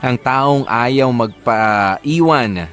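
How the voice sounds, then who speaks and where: A man's voice: medium pitch, drawn-out words, a bit bright, faint music behind. Male Filipino radio DJ for a listener-letter show, conversational advice-giver who switches into English phrases. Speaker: radio DJ hosting a love-story advice show. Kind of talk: reading listener letters and giving casual advice.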